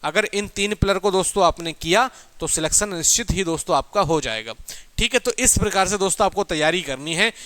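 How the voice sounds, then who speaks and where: High-pitched, bright man teaching. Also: lively intonation, brisk, no room sound. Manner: emphatic, colloquial, asks and answers own questions. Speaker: exam coaching teacher. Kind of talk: informal motivational lecture to students.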